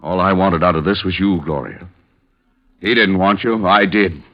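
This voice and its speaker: A desperate, jealous lover who has killed, speaks in a deep voice with the fervor of 1950s radio drama. The recording is old and muffled, with a narrow, dull sound. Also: male voice, moderate pace. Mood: anguished.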